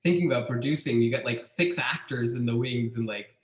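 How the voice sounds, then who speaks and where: A man's voice: medium pitch, a little fast. A man with a mid-range voice chats loosely and laughs easily as he moderates. His voice carries a roomy echo from his room. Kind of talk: casual online talkback.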